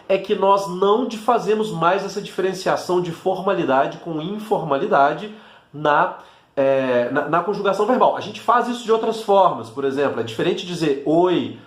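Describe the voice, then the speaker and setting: A man's voice: a little high, lively intonation, moderate pace, roomy sound. A man with a Brazilian accent from Minas Gerais, teaching an Italian lesson in a casual, chatty way, full of fillers and restarts. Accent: Brazilian Portuguese, Minas Gerais.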